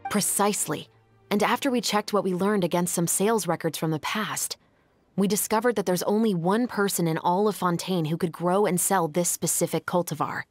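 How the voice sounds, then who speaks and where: A woman playing an earnest, dutiful young patrol captain in a video game, with a formal, measured delivery and music behind her. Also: fairly low pitch, close to an everyday voice. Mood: composed, explanatory.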